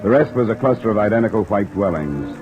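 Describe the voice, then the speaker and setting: Low-voiced, wry old-radio detective, acted by a man. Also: animated, quick pace, music in the background, close to an everyday voice. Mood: matter-of-fact narrating. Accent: American. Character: cool, wry freelance insurance investigator, a man. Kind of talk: 1950s American radio detective acting and first-person narration. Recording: muffled vintage radio recording, rain behind.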